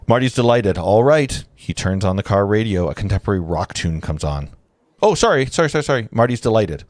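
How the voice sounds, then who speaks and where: Deep-voiced man reading a script aloud. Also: expressive, brisk, a little mellow, no room sound, studio quality. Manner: informal, breaks off to comment and joke. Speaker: narrator reading stage directions at a table read. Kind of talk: casual live script read-through.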